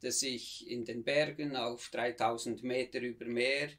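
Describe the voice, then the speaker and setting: A man in his fifties from near Bern with a Swiss German accent, a missionary guest telling his story in a measured, reflective way. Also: medium pitch, fairly even intonation, fairly bright tone. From Switzerland, near Bern. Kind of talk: conversational interview.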